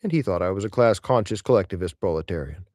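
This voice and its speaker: Male audiobook reader, somewhat deep, very animated intonation, emphatic delivery of literary prose. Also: fast talker, no echo, clean studio sound. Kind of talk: expressive scripted reading of literary essays.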